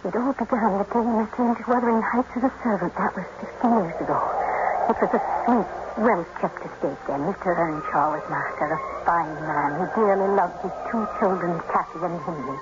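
An actress playing a loyal housekeeper who narrates the story, plain-spoken and ominous, in an old radio drama. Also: female voice, mid-range voice, fairly quick, lightly acted. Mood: narrating. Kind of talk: 1940s radio acting and narration. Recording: muffled old radio recording with loud hiss.

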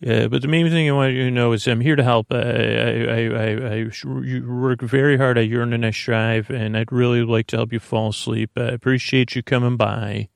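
A man hosting a bedtime podcast in a meandering, halting monologue, reassuring the listener, often repeating himself and trailing off mid-thought. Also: medium pitch, steady tone, creaky, clean tone, dry recording, studio quality. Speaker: podcast host. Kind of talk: rambling bedtime monologue to help listeners sleep.

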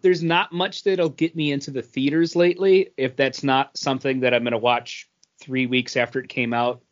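A middle-aged man co-hosting a podcast, chatting casually about pop culture in a rambling, halting way. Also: medium pitch, fairly even intonation. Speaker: podcast co-host. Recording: dull lo-fi podcast audio.

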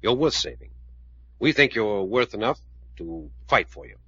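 An actor playing a hard-headed, commanding adventurer with a firm, clipped delivery in 1940s radio drama style. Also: male voice, on the low side, sing-song, moderate pace, pushed and bright, husky, full character voice. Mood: persuasive, earnest. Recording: muffled old-time radio recording.

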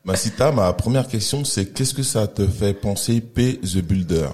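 A man hosting a podcast, asking questions casually and giving short, relaxed prompts to his guest. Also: fairly low pitch, normal speed. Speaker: podcast host. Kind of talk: casual interview chat.

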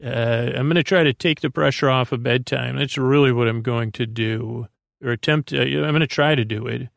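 A man with a creaky voice hosting a sleep podcast, meandering through a soothing, hesitant bedtime monologue full of tangents. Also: medium pitch, no echo, studio quality. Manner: soothing, lulling delivery. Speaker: podcast host.

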